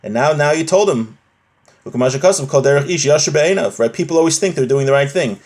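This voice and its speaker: A man's voice: fairly high pitch, lively intonation, fast, a little mellow. Man teaching religious law, conversational. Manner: switches between Hebrew quotations and plain explanation. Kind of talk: informal religious lesson, reading and explaining a text.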